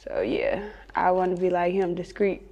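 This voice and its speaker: A woman's voice: lowish voice, sing-song, moderate pace, vocal fry, warm tone, in a large hall, lo-fi sound. A woman with a Chicago accent chatting casually as an interview guest, candid and slangy. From Chicago, United States. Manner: candid, slangy, often chuckling.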